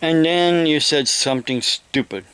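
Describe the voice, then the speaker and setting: An older man with an American accent delivers a rambling monologue, halting and deliberate, often repeating his phrases. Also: a little high, fairly bright tone, clean tone, poor audio. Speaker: evangelist vlogger.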